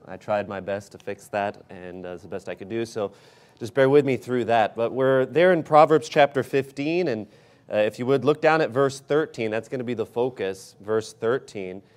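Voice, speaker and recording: A man with a hoarse, raspy voice preaching as a guest preacher, earnest and pausing often. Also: mid-range voice. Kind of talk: sermon.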